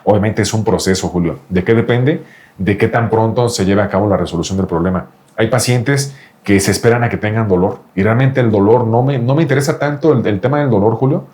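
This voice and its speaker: A man's voice: on the low side, brisk, a little mellow. A man, a doctor specializing in reproductive andrology, explains things insistently to a patient and often repeats the patient's name. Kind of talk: explanatory interview talk with a patient.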